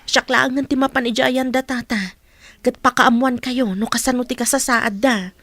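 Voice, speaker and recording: A woman's voice: a little high, steady tone, moderate pace, fairly bright tone, no room sound. An actress playing a loyal, outspoken woman in a radio drama, speaking earnestly and emphatically on behalf of her friend. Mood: earnest, concerned.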